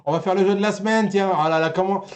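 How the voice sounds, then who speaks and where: A man's voice: high-pitched, steady tone, quick pace, darkish tone. A man hosting a casual group chat, joking and teasing. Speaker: host of a pop-culture show.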